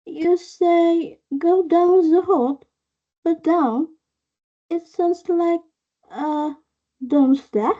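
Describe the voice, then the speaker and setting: Young woman as a high-pitched, confused French student. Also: extremely slow, long pauses, heavily acted. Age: young adult. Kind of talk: student classroom role-play. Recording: dull lo-fi online class audio.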